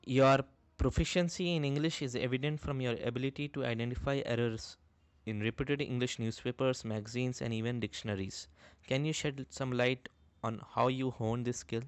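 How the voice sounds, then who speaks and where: A man's voice: medium pitch, steady tone, moderate pace, no echo. A man reading prepared interview questions in a formal, polite manner.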